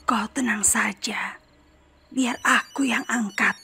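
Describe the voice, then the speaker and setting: A woman voicing a calm, self-assured psychic in a horror cartoon, speaking in a measured, often hushed way. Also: fairly high pitch, gravelly, slightly rough, faint music behind, exaggerated voice. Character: calm female psychic, middle-aged, sure of herself. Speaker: paranormal.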